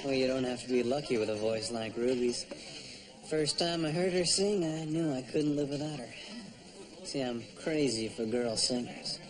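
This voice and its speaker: A man's voice: mid-range voice, moderate pace, crowd noise, lightly acted, poor audio. Sitcom fiancé: male actor as earnest, courtly wartime enlistee, mid-range voice. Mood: smitten. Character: earnest wealthy suitor newly enlisted in wartime.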